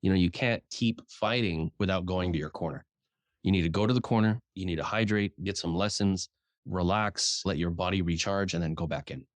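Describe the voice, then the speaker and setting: Frank, salty-tongued man with a lifted deep voice. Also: dry recording. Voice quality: deliberately raised speaking voice, naturally deep. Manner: frank, swears casually, repeats words when starting sentences. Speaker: guest, writer and journalist. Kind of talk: casual interview chat.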